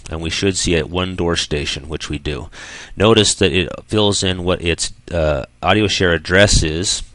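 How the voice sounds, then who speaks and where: A man with a very low voice narrates a software tutorial. He explains step by step without a script, like an instructor. The sound is close and dry, with almost no room in it. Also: no echo.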